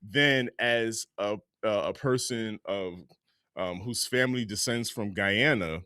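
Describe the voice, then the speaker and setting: A man's voice: fairly low pitch, takes it slowly, bright, forceful tone, clean tone, no room sound, studio quality. A man co-hosting a podcast in casual talk, emphatic and repeating words for effect. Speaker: podcast co-host.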